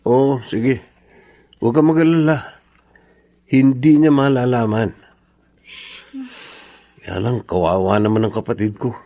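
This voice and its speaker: A man's voice: mid-range voice, unhurried, long pauses, warm tone, dry recording, lightly acted. Guy acting worried protective filipino brother, radio soap, mid voice, earnest, no room sound. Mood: concerned. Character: protective, concerned brother, serious young man.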